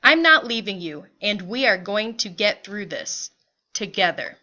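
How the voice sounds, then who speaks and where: A woman's voice: medium pitch, animated, a little slow, sharp tone, muffled recording. A woman voicing a reassuring, devoted migrant husband and father in a tender manner.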